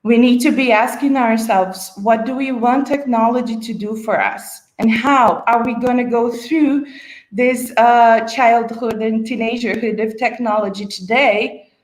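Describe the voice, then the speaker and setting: A woman's voice: a little high, roomy sound, rough recording. A woman moderating a panel discussion, posing questions with frequent hesitant fillers.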